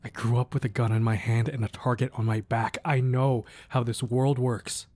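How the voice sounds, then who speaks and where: A man playing a protective, ruthless crime boss who is tender with his wife, speaking softly and earnestly in an intimate romantic ASMR roleplay. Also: mid-range voice, level tone, lightly acted. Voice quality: soft, husky, breathy. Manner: earnest, measured, firm yet affectionate.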